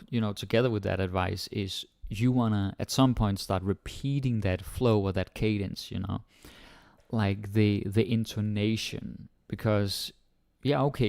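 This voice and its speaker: A man whose first language is Danish gives an informal language lesson in a slightly low voice. He rambles easily and leans on fillers as he acts out his students' thoughts. The sound is dry and close, with almost no room echo. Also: creaky, a little mellow. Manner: chatty, full of "like" and "you know", acts out learners' thoughts. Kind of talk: casual spoken lesson to camera.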